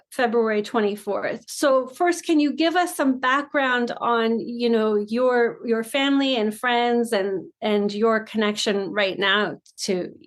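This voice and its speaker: Enthusiastic woman podcast host. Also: fairly high pitch. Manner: enthusiastic, effusive praise. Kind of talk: interview hosting.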